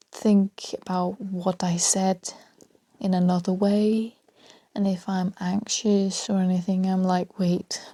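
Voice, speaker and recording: A woman with a Danish accent rambling freely on her solo podcast, talking softly and often dropping to a half-whisper, with small laughs between thoughts. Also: mid-range voice, no room sound. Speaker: podcast host.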